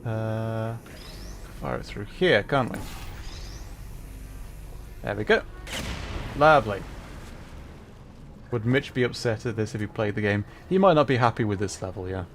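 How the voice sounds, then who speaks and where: A man's voice: lowish voice, measured pace, long pauses, slight background noise. A man streaming a puzzle game as a VTuber, giving chatty, self-deprecating commentary and laughing often. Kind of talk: casual gameplay commentary.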